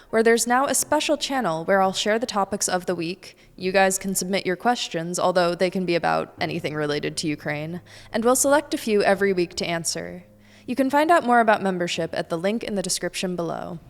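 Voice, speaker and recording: A woman's voice: medium pitch, big-room sound. A young American woman from the United States presenting a weekly news show, reading a script with pointed, sardonic asides.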